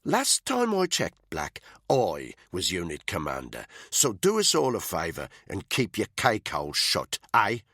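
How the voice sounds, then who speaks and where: A man's voice: on the low side, big pitch swings, measured pace, a bit bright, dry recording, clean studio sound, voice pushed up, heavily acted. A man voicing Tony, a sneering, bullying male unit commander who talks crudely. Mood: loud taunting.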